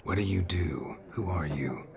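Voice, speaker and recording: Very deep, terse monster hunter. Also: male voice, unhurried, vocal fry, crowd noise. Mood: guarded. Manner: terse, deadpan, laconic. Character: gruff, terse, world-weary monster-hunting witcher man. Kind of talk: video game voice acting.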